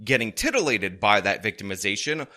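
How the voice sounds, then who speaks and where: A man's voice: lowish voice, big pitch swings, brisk, pushed and bright. A man hosting a commentary show, scornful and emphatic as he picks apart a video clip. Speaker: political commentary host.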